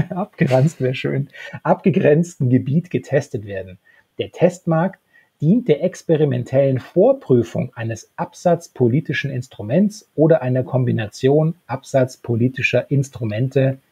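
A man in his thirties co-hosting a podcast, discussing a radio drama in a conversational review style, with a husky voice. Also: medium pitch, dark tone. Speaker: podcast co-host. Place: home room with bookshelves. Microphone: desktop microphone, close.

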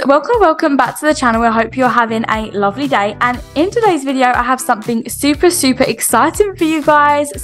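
A woman's voice: high-pitched, a bit bright. A young woman with a British accent, chirpy and exclaiming, doing a game roleplay vlog as the mum. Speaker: video creator playing a mum in a game roleplay.

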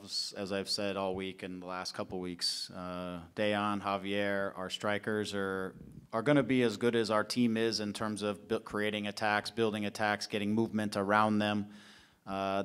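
A man in his forties speaks into a table mic at a press conference. His voice sits low, smooth and resonant, and it stays fairly level in pitch. He answers steadily, thinking aloud with fillers and restarts. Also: fairly bright tone. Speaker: head coach. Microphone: table mic in front of him.

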